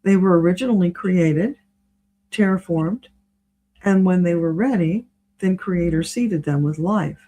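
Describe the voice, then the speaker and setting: A woman's voice: fairly low pitch, unhurried, mellow, a little hiss or hum, poor audio. A woman giving a conversational spiritual reading as a guest, explaining things in a matter-of-fact way. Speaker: spiritual reader, interview guest.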